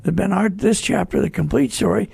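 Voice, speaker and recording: An elderly male radio host with a high, heavily creaking voice. He sounds weakened with age and rambles reflectively through casual on-air chat. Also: brisk, a little mellow. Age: 80s.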